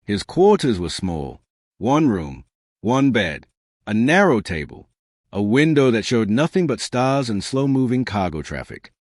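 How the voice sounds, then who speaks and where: A man narrating a science fiction story in a measured, dramatic way with short pausing phrases. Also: medium pitch, very animated, no echo, clean studio sound. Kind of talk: scripted dramatic sci-fi audiobook narration.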